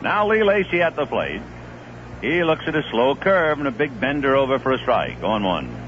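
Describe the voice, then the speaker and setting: A man calling baseball play-by-play on a 1970s radio broadcast, in a steady, conversational manner. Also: normal speed. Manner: steady, conversational play-by-play with live pitch calls. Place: stadium broadcast booth. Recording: muffled 1970s AM radio broadcast with faint hiss.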